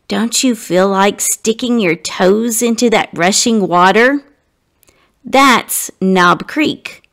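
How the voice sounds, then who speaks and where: A woman narrating a children's storybook expressively, with deliberate phrasing that addresses young listeners directly. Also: mid-range voice, creaky, fairly bright tone. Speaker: guest story reader.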